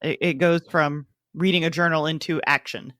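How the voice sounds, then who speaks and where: A man's voice: on the high side, steady tone, normal speed, sharp tone, no room sound, clean studio sound. A man co-hosting a podcast, talking casually through a book discussion. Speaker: podcast co-host.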